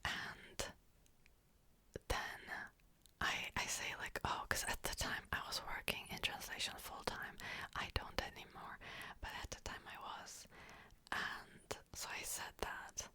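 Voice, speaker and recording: A young woman whispering a personal story in a chatty way, full of "like" fillers and small laughs. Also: a little slow. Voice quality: soft whisper throughout. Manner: chatty, frequent "like" fillers, amused.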